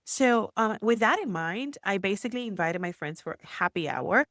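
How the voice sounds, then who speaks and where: A woman's voice: medium pitch, moderate pace, dry recording. Chatty Puerto Rican woman, dry close mic. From Puerto Rico. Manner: chatty, animated storytelling with many fillers. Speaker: guest, entrepreneur. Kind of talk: casual interview chat.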